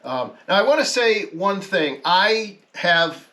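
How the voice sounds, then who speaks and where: A man giving a casual, explanatory talk as an amateur radio hobbyist, halting and correcting himself as he works through technical details. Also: high-pitched, expressive, sharp tone. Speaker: amateur radio hobbyist presenting his project.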